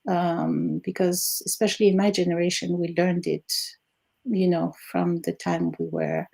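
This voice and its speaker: A woman in her sixties from Algeria, a native Arabic speaker, talks through her answers with gravel in her voice and a mellow, darker tone. She laughs often and fills pauses with ums and uhs. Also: fairly low pitch, lively intonation. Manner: warm, laughs often, fillers. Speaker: guest, retired Arabic teacher. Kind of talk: casual interview answers.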